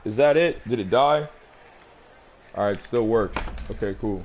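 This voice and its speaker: A man's voice: on the low side, normal speed, a little hiss or hum. A man with a New England accent, chatting casually on a live stream, rambling and boastful and swearing freely as he plays his old songs. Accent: New England, Rhode Island. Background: music playing.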